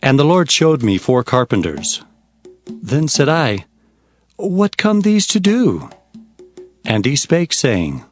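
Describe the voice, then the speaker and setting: Earnest, very expressive prophet. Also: male voice, medium pitch, takes it slowly, long pauses, faint music behind. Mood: solemn, questioning. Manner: dramatic, solemn, expressive. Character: earnest male prophet recounting his visions. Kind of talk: dramatized scripture narration.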